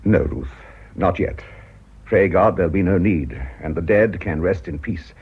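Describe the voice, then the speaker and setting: A British actor playing a troubled, principled church canon in a radio drama, gentle but stubborn. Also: male voice, low voice, a little slow, husky, exaggerated voice. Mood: grave, weary. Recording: muffled, dull radio drama recording.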